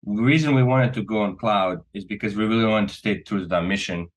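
A man's voice: lowish voice, quick pace. A man from Serbia talking casually and earnestly as a company co-founder being interviewed, often checking in with "right?".

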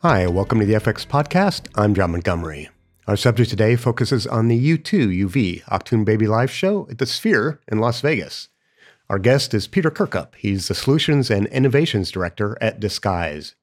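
A man hosting a podcast, hoarse from the flu, reading a subdued introduction. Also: lowish voice, big pitch swings, moderate pace. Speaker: podcast host. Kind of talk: scripted intro.

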